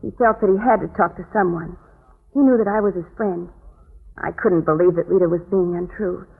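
A woman's voice: lowish voice, a little fast, creaky, breathy edge. Insistent lady, tinny old radio. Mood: earnest. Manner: earnest, ladylike, emphatic. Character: poised, insistent woman, a murder suspect. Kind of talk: 1940s radio melodrama acting. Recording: muffled, tinny 1940s radio broadcast.

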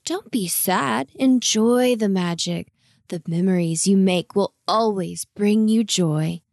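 A kindly old storybook goat, acted out by a woman, wise and courteous. He speaks slowly and gently, with a creaky edge, encouraging in an old-fashioned way. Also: medium pitch, no room sound, studio quality. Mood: gentle, reassuring. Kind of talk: children's storybook character voice.

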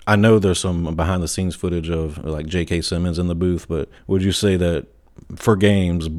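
A man's voice: low-pitched, fairly even intonation, moderate pace, a little mellow. A man speaking casually as a podcast host, putting questions and remarks to a guest. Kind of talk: casual interview chat.

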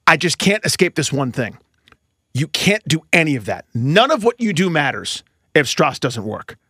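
A man's voice: a little high, sing-song, normal speed, pushed and bright, husky, no echo, clean studio sound. A man co-hosting a sports podcast, chatting casually and emphatically about baseball in colloquial, hyperbolic language.

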